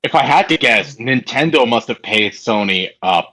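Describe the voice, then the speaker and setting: Male co-host, sharp forceful tone, mid pitch, slangy and informal chat. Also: expressive, moderate pace, poor audio. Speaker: podcast co-host. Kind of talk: casual chat.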